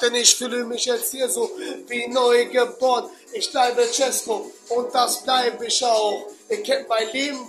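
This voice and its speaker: A young man from Germany with a cold, freestyle rapping boastfully into a webcam in a dark bedroom. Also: very high-pitched, rough recording. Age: young adult. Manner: boastful, repetitive rhymes. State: has a cold. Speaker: amateur rapper. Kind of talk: freestyle rap over a beat. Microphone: webcam at close range. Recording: beat playing under the voice. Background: loud backing beat.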